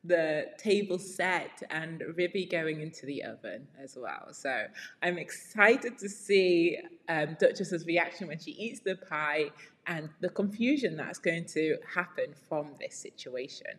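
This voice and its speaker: A woman reading a storybook aloud as a teacher, deliberately explaining words as she goes. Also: low voice, expressive. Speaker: read-along storyteller and English teacher. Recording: very reverberant room.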